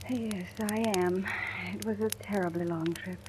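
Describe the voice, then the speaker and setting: An actress playing a timid, devoted young woman in a 1940s style radio drama, speaking softly and hesitantly. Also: mid-range voice, steady tone, measured pace, background noise, lightly acted. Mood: nervous. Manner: hesitant, soft-spoken. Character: timid but devoted young woman, new household employee. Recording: old radio recording, music behind.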